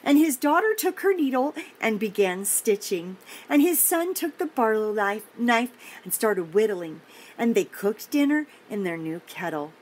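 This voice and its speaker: An older woman reading a picture book aloud to young children, gently and encouragingly, with chatty asides and questions to the listener. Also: on the high side, animated, measured pace, poor audio. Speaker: children's story reader and host. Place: indoor home office. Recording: clear background noise, room ambience.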